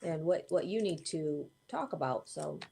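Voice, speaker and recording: A woman's voice: low voice, lively intonation, moderate pace, vocal fry, warm tone, breathy edge. A woman co-hosting a casual live show, easygoing and agreeable, filling in with frequent yeahs, speaking over a video call. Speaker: co-host. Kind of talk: casual live-stream chat.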